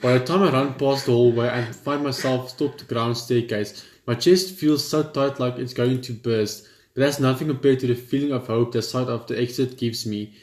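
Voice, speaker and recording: A man's voice: mid-range voice, steady tone, normal speed, a little mellow. Man in an echoey room reading game text. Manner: stumbles over words when reading aloud. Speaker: gaming video host. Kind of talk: let's play commentary, reading game text aloud. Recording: roomy echo, faint game music.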